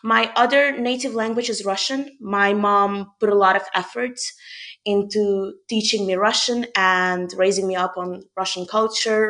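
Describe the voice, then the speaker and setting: This is a young woman from Hungary who speaks English. She answers questions in a measured, reflective way. She often pauses with "um" and restarts her sentences. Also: medium pitch, a bit bright. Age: young adult. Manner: thoughtful, with frequent "um" and restarts. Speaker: podcast guest. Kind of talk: conversational interview answers.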